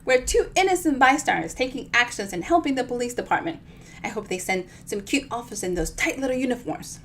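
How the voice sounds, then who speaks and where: A woman's voice: fairly high pitch, lively intonation, a little fast, a bit bright, slight background noise, voice pushed up. A woman voicing a cheery, spry elderly lady from a novel, sing-song and sweetly bossy. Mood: cheeky, bossy.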